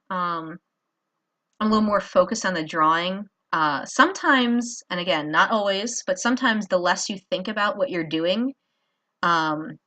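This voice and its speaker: Woman, tired, lowish voice, casual art tutorial, rambling with fillers, talking while drawing at home. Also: pushed and bright. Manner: rambling, self-deprecating, many fillers.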